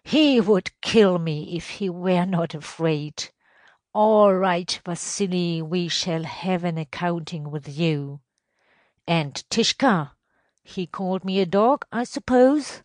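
A woman voicing a domineering, vindictive male estate superintendent in a storybook reading, questioning loudly and emphatically. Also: lowish voice, slow pace, no room sound. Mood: indignant, menacing. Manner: loud, emphatic interrogation.

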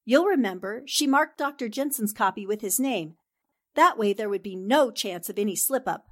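A woman playing a sharp, confident newspaperwoman in a 1940s-style radio drama. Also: mid-range voice, animated, moderate pace, natural voice. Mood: confident, explanatory. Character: sharp, confident newspaperwoman explaining a murder plot. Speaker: voice actor in an amateur radio drama.

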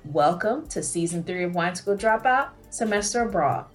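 A woman's voice: lowish voice, expressive, moderate pace, soft background music. A woman hosting a podcast, reading scripted narration with an upbeat delivery. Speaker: podcast host.

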